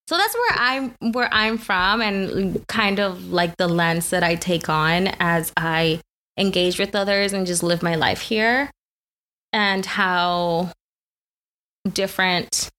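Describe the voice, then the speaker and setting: A woman's voice: on the low side, fairly bright tone. A young woman co-hosting a podcast, born in Mexico and raised in South Texas, talking casually with many fillers and restarts. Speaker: podcast co-host. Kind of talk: casual chat.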